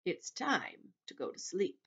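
A woman voicing an old male grasshopper, dignified, scornful and weary, in a slow storybook delivery. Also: a bit bright, natural voice. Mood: weary, firm.

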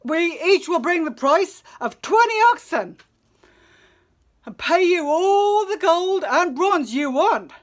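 A woman's voice: extremely high pitch, expressive, slow, pitched above the performer's own voice, heavily acted. A woman voicing a frightened male suitor who pleads in a glib, urgent, placating way. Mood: pleading.

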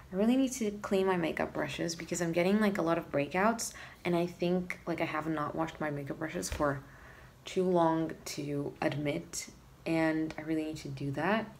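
A groggy young woman talking to her phone in a small room at home, creaky voice, rambling through her day. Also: deep voice, a little hiss or hum. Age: 20s. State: tired, groggy. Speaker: vlogger. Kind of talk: casual chat to camera. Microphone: phone or camera at arm's length.

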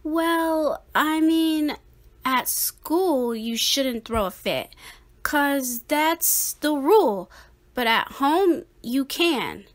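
A woman's voice: high voice, lively intonation, extremely slow, pitched above the performer's own voice, heavily acted. A woman voicing a young, easily frustrated squirrel boy in a storybook, protesting with emphasis. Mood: pleading.

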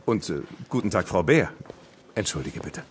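An actor playing a genial, avuncular small-town policeman who talks to children in a measured way in an audio play. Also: deep voice, sing-song, slight background noise.

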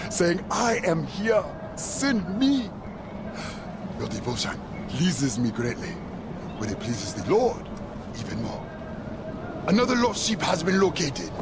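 An actor playing a zealous, middle-aged preacher haunted by sin in a video game, with a grandiose, sermonizing delivery. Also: male voice, sits high, exaggerated voice. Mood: grand, solemn. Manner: fervent, grandiose, quoting scripture like a sermon. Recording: game audio with clear outdoor ambience noise.

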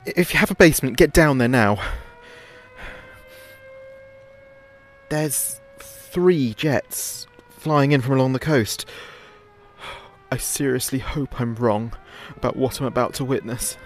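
A man acting as a radio DJ in an audio drama, reporting a disaster live in a halting, anxious, fearful way. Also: medium pitch, close to an everyday voice. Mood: alarmed, urgent.

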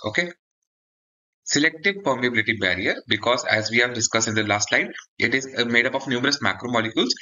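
Bright, forceful man lecturing. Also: medium pitch, highs cut off. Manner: repeats and checks with "okay?". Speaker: dermatology lecturer. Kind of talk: explanatory exam-oriented lecture.

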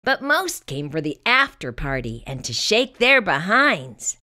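A woman reading a children's picture book aloud in expressive, scripted narration. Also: low voice, unhurried.

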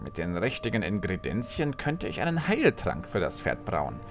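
A man's voice: lowish voice, huge pitch swings, moderate pace, gravelly, over music, full character voice. A man playing an impatient, scheming court alchemist with a questioning, insistent manner in a fantasy audio drama. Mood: calculating. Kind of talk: fan-made fantasy audio drama acting.

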